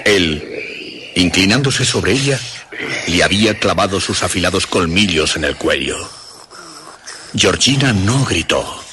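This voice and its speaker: A man with a Castilian Spanish accent playing the grave narrator of a vampire tale in a radio drama, dramatic and deliberate. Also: sits low, lively intonation, noisy background. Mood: ominous. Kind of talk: scripted radio horror narration.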